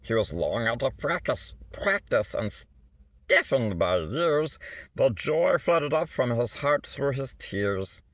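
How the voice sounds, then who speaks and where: A man's voice: mid-range voice, sing-song, takes it slowly, a bit bright, no echo. A man reading a rhyming children's picture book aloud in a theatrical, rhythmic way, stretching words for effect. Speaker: storybook reader.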